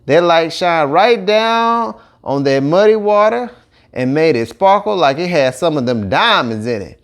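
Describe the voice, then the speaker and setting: A man tells a children's tale in a folksy Cajun accent. His voice sits fairly high for a man and moves around a lot in pitch, with a colloquial, animated storyteller's delivery. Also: unhurried. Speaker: audiobook narrator.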